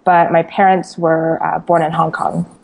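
Woman from California, journalist guest, thoughtful answers, lower pitch. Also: level tone, normal speed, vocal fry, a little mellow, rough recording. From California, USA. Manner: thoughtful, some "uh" and restarts. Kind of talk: casual interview answers.

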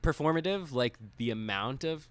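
A man's voice: medium pitch, animated, normal speed, smooth. Rambling, casual man chatting. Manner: rambling, hedging, heavy use of "like". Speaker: co-host. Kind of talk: casual film discussion chat.

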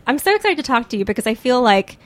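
A woman's voice: a little high, sing-song, quick pace, no room sound. An American woman hosting an interview podcast in a chatty, enthusiastic way. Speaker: podcast host.

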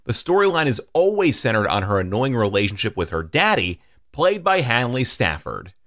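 A man hosting a podcast, reading a measured scripted introduction in a studio. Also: mid-range voice, big pitch swings, fairly bright tone, no echo. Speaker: podcast host.

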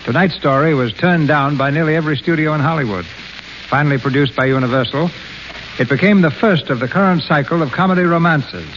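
A man hosting an old radio show with a smooth, formal announcer's delivery. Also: a little high, brisk, a bit bright. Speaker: radio host. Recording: dull old radio broadcast with faint hiss.

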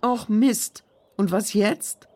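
An actress playing a hopeful, practical, persistent woman in a German children's audio drama, expressive and encouraging. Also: mid-range voice, slow, natural voice. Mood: dismayed.

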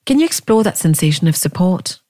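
A woman leading a guided meditation, speaking in gentle, inviting questions with long pauses between phrases. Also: fairly low pitch, very animated, dry recording, clean studio sound. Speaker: meditation teacher.

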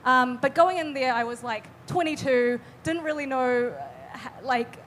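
Young woman from New Zealand, high-pitched, earnest live-panel guest; faint background hum. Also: expressive, unhurried, fairly bright tone. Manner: chatty, earnest, many fillers and restarts. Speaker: artist guest. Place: live event stage.

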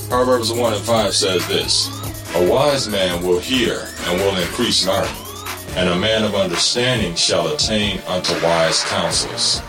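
Low voiced guy doing a teaching show intro, talks right at you, echoey with music behind. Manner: didactic, direct address to listeners. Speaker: religious teaching host. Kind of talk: scripted promotional introduction to a teaching. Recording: roomy, echoing voice track.

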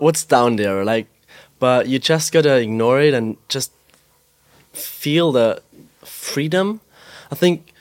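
A young man from Austria, a guest in a podcast interview, talking in a relaxed way with lots of "like" and "um" and an easy laugh. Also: medium pitch, no echo, clean studio sound. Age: young adult. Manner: relaxed, fills pauses with "like" and "um", laughs easily. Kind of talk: casual interview chat. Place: pop-up store.

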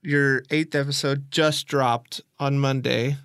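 A man's voice: medium pitch, fairly even intonation, takes it slowly, a bit bright, clean tone, no room sound, clean studio sound. A man working as an interviewer, talking casually and conversationally as he thinks through his questions.

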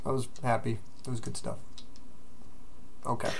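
A man hosting a podcast, chatting casually with friends and telling stories in an animated, sweary way. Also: medium pitch, normal speed, gravelly. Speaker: podcast host. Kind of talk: casual chat among friends.

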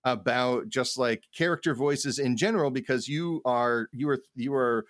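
A man's voice: mid-range voice, normal speed, no room sound, studio quality. A man co-hosting a podcast in casual chat, talking in a rambling, stop-start way with repeated words. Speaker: podcast co-host. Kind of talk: casual podcast chat about role-playing games.

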